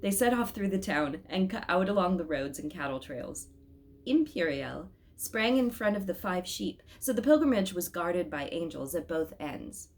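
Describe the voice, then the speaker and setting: Woman doing a storybook read-aloud, slightly low voice with lots of up and down. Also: animated, normal speed. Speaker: storybook reader. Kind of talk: expressive read-aloud narration.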